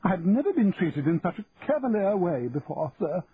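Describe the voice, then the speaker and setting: A man puts on a pompous middle-aged auctioneer for a storybook reading, his voice raised high and prim. Every word is stiff and formal, and he is quick to take offence. The sound is narrow and tinny, with a faint hiss underneath. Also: expressive, natural voice.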